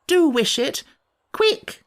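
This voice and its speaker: A man voicing a cranky, put-upon little furry wish-granting creature from a storybook, with a shrill voice that cracks when worked up. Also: big pitch swings, slow, exaggerated voice. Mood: agitated. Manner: indignant, complaining.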